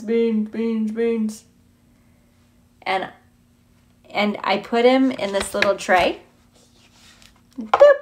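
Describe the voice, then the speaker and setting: A woman teacher reading a picture book aloud to children, chatting playfully and putting questions to her young listeners. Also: a little high. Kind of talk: children's read-aloud with casual asides to young viewers.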